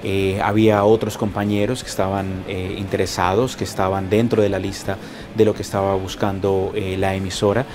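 Measured Colombian man, low pitch. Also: little pitch movement, music in the background. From Bogotá, Colombia. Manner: measured, hesitant with many fillers. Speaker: hockey color commentator. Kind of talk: interview answers. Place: locker room, indoors.

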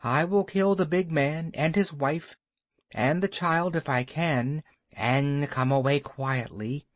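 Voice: mid-range voice, takes it slowly, no echo, natural voice. A reader doing a cold male cobra. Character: hesitant then ruthless male cobra.

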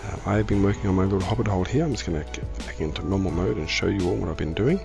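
Croaky, low-voiced man. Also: racing, over music. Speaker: gaming video host. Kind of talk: casual Minecraft let's-play commentary.

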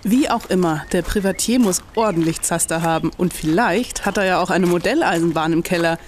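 A woman's voice: lowish voice, brisk, a little hiss or hum, close to an everyday voice. A woman playing an eager, teasing boyish student in an adventure audio play. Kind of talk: German audio play acting.

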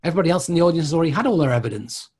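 A middle-aged man teaching informally as a tutor, answering questions and explaining in an animated, conversational way. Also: fairly high pitch, very fast, husky. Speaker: mediumship tutor.

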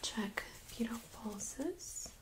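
A young woman speaking in a soft, breathy near-whisper, gently playing a medical examiner in an ASMR role-play. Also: a little high, lively intonation, poor audio. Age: young adult. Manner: gentle, unhurried, reassuring. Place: small carpeted exam room, indoors. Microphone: USB microphone on a stand nearby.

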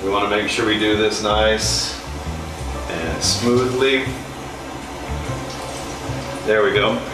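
Chatty cooking host in a roomy kitchen. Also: male voice, on the low side, fairly even intonation, slow pace, recorded from a distance, over music. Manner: chatty, joking, with fillers. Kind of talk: casual cooking demonstration. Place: commercial kitchen. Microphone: far camera.

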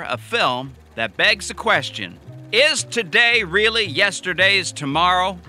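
A hammy drive-in showman for an audio comedy. He is slow and grandiose, with a very bright, forceful voice and faint music behind. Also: high voice, heavily acted. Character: showy male drive-in emcee telling corny jokes. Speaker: emcee. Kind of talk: theatrical stage announcing.

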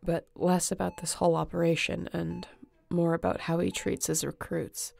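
A woman playing an earnest, emotional space captain in a sci-fi audio roleplay, tender and halting. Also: on the low side, flat delivery, creaky, a little mellow. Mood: gentle, reassuring. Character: woman space captain, tender, guilt-ridden, devoted to her crewmate.

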